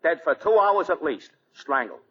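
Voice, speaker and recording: A man's voice: a little high, big pitch swings, normal speed, breathy edge. A man acting a plain-spoken, obliging police detective, clipped and matter-of-fact, in 1940s radio style. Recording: dull lo-fi 1940 radio broadcast.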